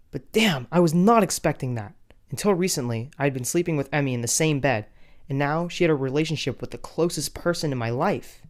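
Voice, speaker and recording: A man's voice: medium pitch, lightly acted. A man playing betrayed young guy, mid-range voice, wry, dramatic, first-person cartoon story narration.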